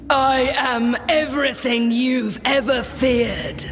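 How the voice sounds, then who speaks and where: An actor playing a menacing, mocking ghost in a video game, with a slow, taunting delivery. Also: male voice, very high voice, fairly even intonation, a bit bright, slight background noise, full character voice.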